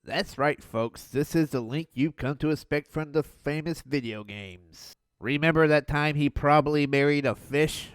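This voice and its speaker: A young man reviewing an old cartoon in a sarcastic, mocking voice-over with deadpan jabs. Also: fairly high pitch, normal speed, smooth.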